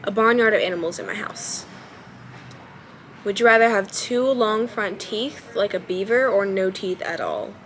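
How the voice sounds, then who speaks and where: Woman with a soft babyish voice. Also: medium pitch, normal speed, a little hiss or hum. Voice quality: childlike, baby-ish voice. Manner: relaxed, hesitant, many ums while thinking aloud. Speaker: vlogger. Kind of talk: casual talk to camera. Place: at home.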